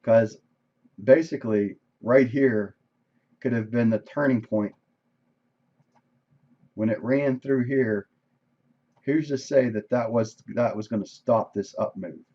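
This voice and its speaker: A man reviewing his day's trades on a live stream, casual and thinking aloud, with a voice that is going hoarse. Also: mid-range voice, slow, pauses often, highs cut off. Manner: conversational, thinking aloud, frequent "right?". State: losing his voice, coughing. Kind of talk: casual screen-share trade recap. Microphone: desk microphone.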